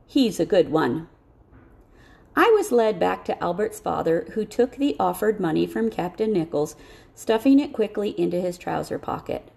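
Female audiobook narrator, mid-pitched with noticeable creak, expressive, careful first-person storytelling. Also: moderate pace.

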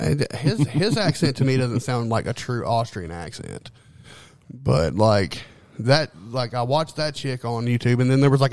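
A man's voice: mid-range voice, darkish tone, no room sound. A man chatting informally, peppering his talk with "like" and swear words. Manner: profane, informal, heavy use of "like". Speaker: podcast co-host. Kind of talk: casual chat.

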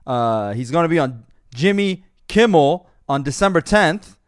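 A man hosts a casual podcast, rambling and laughing as he talks. His voice sits a little high and comes through smooth and clear. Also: lively intonation, unhurried. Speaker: podcast host.

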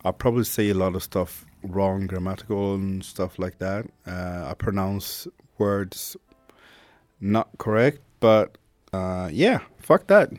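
A man's voice: deep voice, slow pace, darkish tone, dry recording. A man in his thirties with a Swedish accent, hosting a candid, reflective monologue podcast and swearing casually. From Sweden. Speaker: podcast host.

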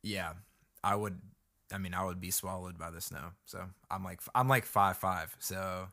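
Brief: male host, lowish voice, brisk and friendly icebreaker questions. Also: creaky, pushed and bright. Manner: upbeat, chatty, quick asides. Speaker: podcast host. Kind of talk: casual interview questions. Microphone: video call.

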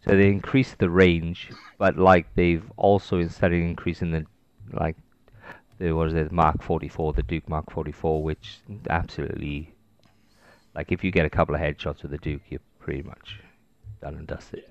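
A man's voice: a bass voice, flat delivery, moderate pace, dry recording, lo-fi sound. A man with a Welsh accent, co-hosting a casual gaming podcast and rambling with lots of fillers. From Wales. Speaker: podcast co-host.

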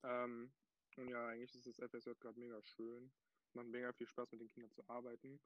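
Teen male guest, mid-pitched, bashful and giggly, lo-fi dry sound. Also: monotone, fairly quick, dry recording. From Germany. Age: late teens. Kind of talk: casual chat.

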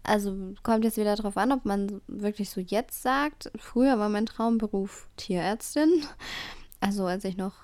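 A woman's voice: medium pitch, darkish tone, no room sound. A young woman co-hosting a podcast, chatting casually and thinking aloud in a hesitant way, recorded on a phone. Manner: slow, thoughtful, hesitant. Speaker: podcast co-host. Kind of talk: casual chat.

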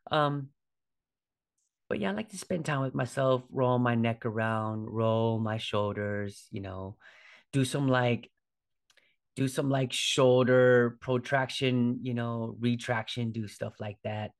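This is a man in his forties with a noticeably gravelly, creaky voice at an ordinary male pitch. He talks like a podcast host on his own, loosely and informally. He often tags his points with a conversational check for agreement. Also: takes it slowly. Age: 40s. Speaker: podcast host, fitness trainer. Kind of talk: casual solo podcast talk.

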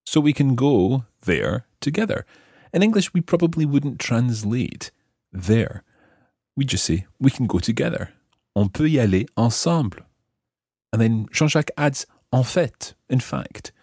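A man hosting a language lesson, explaining example phrases piece by piece with careful, measured articulation. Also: medium pitch, expressive, a little mellow, slightly rough, dry recording, clean studio sound. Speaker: language teacher and host.

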